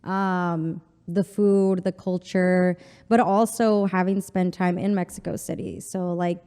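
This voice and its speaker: Low-voiced chatty woman, long reverb. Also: level tone, slow, mellow, clear, resonant tone. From Texas border town. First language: Spanish. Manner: chatty, frequent "like" and "you know". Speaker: interview guest. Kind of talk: casual interview chat.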